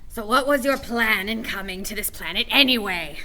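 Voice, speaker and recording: A woman's voice: medium pitch, normal speed, bright, forceful tone, natural voice. A woman playing a dry, scornful, demanding character in a comedic sci-fi radio play, sardonic and insistent. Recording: noisy background ambience.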